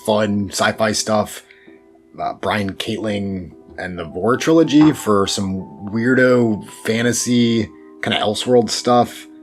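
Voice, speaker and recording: A man giving reflective interview answers in a thoughtful, self-deprecating way with wry asides. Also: lowish voice. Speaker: interview guest, horror fiction writer. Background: background music.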